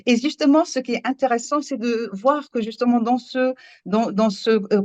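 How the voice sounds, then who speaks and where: A woman's voice: on the high side, fairly even intonation, normal speed, a little mellow, no room sound. A woman storyteller whose first language is Moroccan Arabic, speaking earnestly and searching for her words in a panel discussion over a remote connection. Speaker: storyteller and workshop leader. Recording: dull dry remote audio.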